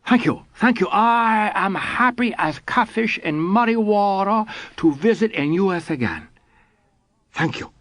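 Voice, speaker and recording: A man's voice: high-pitched, expressive, unhurried, fairly bright tone, dry recording, pitched above the performer's own voice. A man voicing an older scholar who lectures in broken English. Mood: cheerful. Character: shy, smiling older male Japanese Zen scholar. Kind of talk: audiobook character voice.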